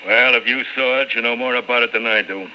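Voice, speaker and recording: A male actor playing a gruff, weary, defensive building engineer in an old-time radio drama. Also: medium pitch, fairly even intonation, quick pace, lightly acted. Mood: irritated. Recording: muffled, faintly noisy old radio recording.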